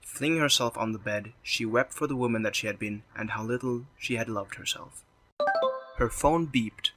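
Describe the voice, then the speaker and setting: A man's voice: mid-range voice, moderate pace, fairly bright tone, faint music behind, poor audio. A man narrating a scripted drama story as its storyteller.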